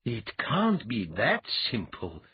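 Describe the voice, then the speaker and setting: A husky-voiced audiobook reader voicing a nervous young woman who speaks slowly and anxiously. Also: medium pitch, sing-song, no room sound, narrow, tinny audio, voice pushed up. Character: pale blonde girl, nervous and fervent.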